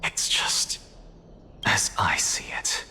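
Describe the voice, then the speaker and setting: An actor playing a proud, defiant scholar in a video game, speaking in a grandiose, measured, oratorical way with dramatic pauses. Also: male voice, pushed and bright, whispered. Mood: defiant, lecturing. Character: proud, defiant scholar, theatrical blasphemer professor. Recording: long reverberant hall, music behind.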